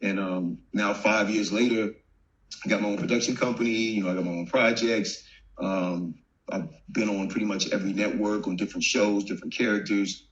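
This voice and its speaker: A man in his thirties from Virginia with a softened Southern accent, a former football player turned actor chatting easily as a guest, laughing often and full of fillers. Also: on the low side, fairly quick, highs cut off. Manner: relaxed, laughs often, many fillers and restarts. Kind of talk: casual interview chat. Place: home, remote video call. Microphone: video call.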